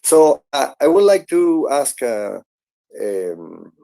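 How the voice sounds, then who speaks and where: A man co-hosting an online audio chat who talks haltingly and repeats words. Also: medium pitch, sing-song, vocal fry, mellow.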